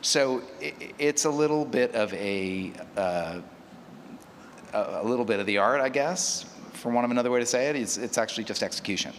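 A man's voice: medium pitch, moderate pace. A man speaking unscripted as a panelist, thinking aloud and hedging as he goes. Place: panel in a hall. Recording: hall reverb, faint noise.

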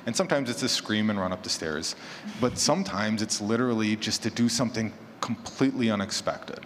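A man's voice: fairly low pitch, expressive, a little fast. A man speaking casually as a panelist at a live discussion, in a conversational way with self-deprecating asides. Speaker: panelist, horror fiction podcaster. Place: large echoey room at a convention panel. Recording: echoey hall, noticeable background noise.

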